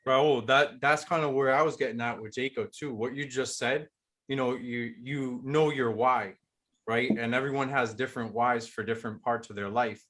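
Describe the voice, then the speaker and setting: Measured, reflective mid-voiced man. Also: medium pitch, steady tone, fairly bright tone. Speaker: participant in a coaching call. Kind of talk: casual group discussion.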